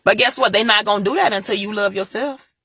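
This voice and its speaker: A woman's voice: mid-range voice, fast talker, bright, forceful tone, husky, no echo, clean studio sound. A young woman with giving an impassioned motivational talk, repeating her points and speaking straight to her viewers. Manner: preachy, repeats phrases, talks straight to viewers. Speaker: motivational vlogger.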